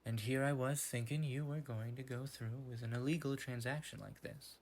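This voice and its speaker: A man voicing a stern, smug male enforcer from a game in a deliberate, taunting fan-dub delivery. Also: mid-range voice, steady tone, vocal fry, a little mellow.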